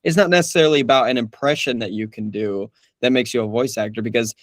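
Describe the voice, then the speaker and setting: A man's voice: mid-range voice, fast, no room sound, clean studio sound. A young man, a voice actor, chatting casually in an interview, laughing often and restarting his sentences. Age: young adult. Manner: relaxed, laughs often, many restarts and fillers. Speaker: voice actor guest.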